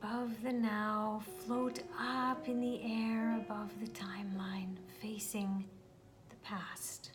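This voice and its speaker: A woman leading a guided meditation, speaking soothingly and deliberately, with long pauses as she addresses the listener directly. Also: mid-range voice, little pitch movement. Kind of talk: guided meditation, spoken instruction. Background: meditation music.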